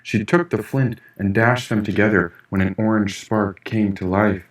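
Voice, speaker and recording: A man reading first-person fiction as an audiobook narrator, in an expressive, dramatic storytelling manner. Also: on the low side, moderate pace, slight background noise. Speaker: author reading own audiobook. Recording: echoey room, distant mic.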